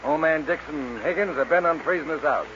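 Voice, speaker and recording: An actor playing a weary middle-aged rancher in a 1940s radio western, folksy and plain-spoken. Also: male voice, a little high, fast, natural voice. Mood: grimly worried. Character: weary middle-aged rancher father, desperate over debts. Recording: muffled, noisy 1940s radio recording.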